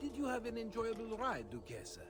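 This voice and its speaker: A man's voice: very high-pitched, huge pitch swings, a little fast, exaggerated voice. A man voicing a deferential, bemused palace attendant in a video game. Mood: puzzled, deferential. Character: deferential, bemused male palace servant or guard. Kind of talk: video game cutscene acting. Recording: prominent music behind the voice.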